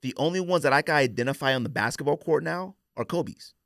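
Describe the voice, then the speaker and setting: A man's voice: fairly high pitch, quick pace, gravelly, a bit bright, no echo, clean studio sound. An American man in his forties hosting a podcast, debating sneakers casually and emphatically, repeating phrases for stress. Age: 40s. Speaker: podcast host.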